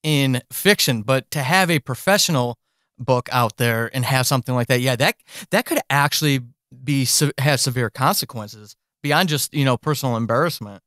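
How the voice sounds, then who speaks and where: A man's voice: mid-range voice, normal speed, a bit bright, dry recording, clean studio sound. A man hosting a casual podcast interview, speaking directly to listeners in an encouraging way. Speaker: podcast host and fiction author.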